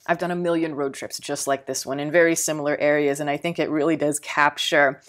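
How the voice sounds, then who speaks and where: A woman's voice: deep voice, steady tone, brisk. A woman with a Texan accent reviewing a film in a casual, rambling way, thinking aloud with fillers and repeated words. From Texas.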